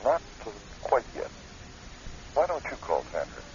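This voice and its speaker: A man's voice: mid-range voice, huge pitch swings, measured pace, a little mellow. An actor playing a cautious, scheming psychiatrist in his forties in a radio drama, terse and guarded. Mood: guarded, conspiratorial. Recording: muffled, hissy old radio recording.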